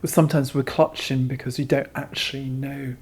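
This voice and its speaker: A man's voice: mid-range voice, little pitch movement, normal speed, darkish tone, husky. A man speaking as a curator in an interview, giving long, discursive explanations. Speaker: exhibition curator, interview guest.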